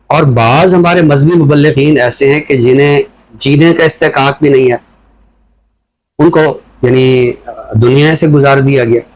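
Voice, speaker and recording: A man with a Pakistani accent giving reflective talk show commentary in a deliberate, explanatory way, often posing rhetorical questions. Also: medium pitch, darkish tone, low-quality recording.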